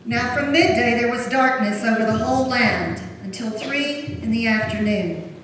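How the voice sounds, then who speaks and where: A woman's voice: fairly high pitch, moderate pace, fairly bright tone. A woman reading scripture as narrator in a solemn dramatic style in a church. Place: large church during a service. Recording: very echoey church, distant, faint noise.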